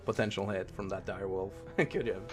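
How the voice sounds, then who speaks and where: A man's voice: low voice, fairly even intonation, a little fast, music in the background. A man from Norway with a Norwegian accent, chatting casually as the game master and host of a streamed tabletop game. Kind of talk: casual post-game chat.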